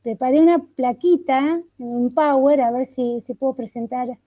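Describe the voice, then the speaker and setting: A woman speaks in a high, sharply bright voice. She leads an online catechesis workshop over a video call, working through one question after another with thoughtful pauses. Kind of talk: reflective online workshop talk.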